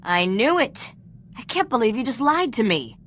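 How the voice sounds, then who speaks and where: A woman's voice: a little high, sing-song, normal speed, fairly bright tone. A woman playing a cool, cynical treasure hunter in a video game, wry and sardonic. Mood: indignant.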